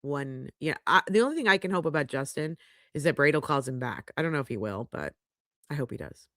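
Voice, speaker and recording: A woman's voice: low voice, expressive, fairly quick, a bit bright, no echo, clean studio sound. A middle-aged woman hosting a livestream, congested and sniffly, chatting frankly as she reads viewer comments aloud. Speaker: podcast host reading live chat. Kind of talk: casual livestream chat. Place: home podcast studio. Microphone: studio microphone.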